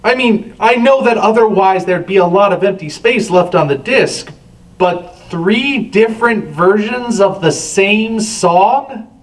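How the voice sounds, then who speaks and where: High-voiced man, wry reviewer, off-mic. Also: animated, unhurried. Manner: wry, sardonic. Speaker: reviewer, show host. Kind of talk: scripted review commentary. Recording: distant from the microphone.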